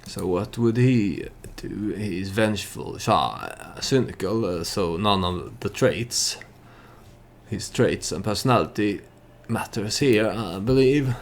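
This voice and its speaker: A man hosting a let's play, giving storytelling game commentary in a halting way, as if thinking aloud. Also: fairly low pitch, expressive, creaky, slight background noise.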